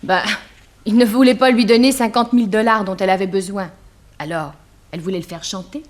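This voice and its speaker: A woman's voice: medium pitch, fairly even intonation, brisk, natural voice. A woman playing a frank, gossipy chambermaid in a 1960s French radio drama. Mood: startled, confiding. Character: gossipy young chambermaid, frank about her mistress.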